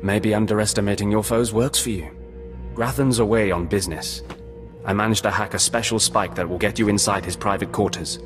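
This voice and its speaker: A man acting a gruff undercover military operative from a sci-fi game. He speaks in a deep voice, curt and condescending, with needling sarcasm, over clearly audible music. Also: steady tone, a little fast, lightly acted. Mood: businesslike.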